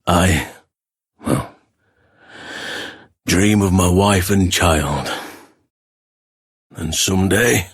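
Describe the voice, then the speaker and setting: A man voicing a gentle, heroic warrior in a video game, husky, earnest and reflective. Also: deep voice, extremely slow, long pauses, no echo, clean studio sound, heavily acted. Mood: wistful, earnest. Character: gentle heroic warrior, wistful husband and father. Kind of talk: video game character voice acting.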